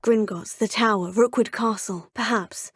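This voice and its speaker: Young witch game hero, husky a bit high voice, earnest, mutters to herself sometimes. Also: moderate pace, fairly bright tone, dry recording, studio quality. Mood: determined. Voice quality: husky, often whispering to herself. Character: earnest young female wizard student hero. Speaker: player character. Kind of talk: video game voice acting.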